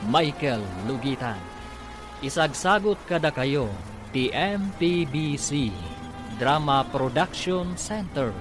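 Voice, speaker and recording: A man with an Ilocano Filipino accent delivering dramatic scripted narration as a radio drama announcer. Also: a little high.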